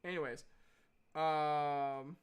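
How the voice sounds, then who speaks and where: A man running a tabletop game as game master, explaining rules in an informal, halting way. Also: on the high side, steady tone, smooth.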